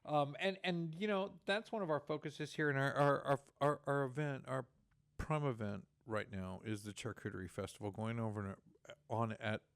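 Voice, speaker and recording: A man's voice: medium pitch, normal speed, clear, resonant tone, no room sound. A man with a Texan accent hosting a community radio talk show, chatting casually in the studio with many restarts and fillers.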